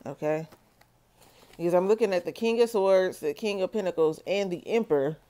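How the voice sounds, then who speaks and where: A woman doing a solo tarot reading for her viewers, talking slowly and checking in with "okay?". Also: on the low side, warm tone. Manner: slow, measured, repeats "okay?" to viewers. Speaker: tarot reader.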